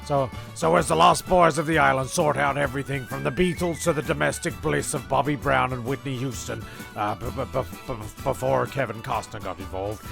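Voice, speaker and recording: A man's voice: mid-range voice, brisk, creaky, music in the background. A man playing a dry, fussy narrator in a comedy audio drama, with a slight stammer.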